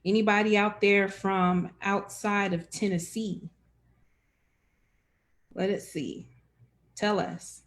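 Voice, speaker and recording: A woman's voice: medium pitch, steady tone, slow pace, pauses often. A woman hosting a live-streamed information session as enrollment director, friendly and chatty with many fillers.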